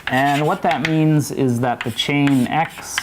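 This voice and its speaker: A man's voice: on the high side, steady tone, slow pace, background noise. A man giving a university lecture, talking in a digressive way with many restarts and asides. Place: lecture hall.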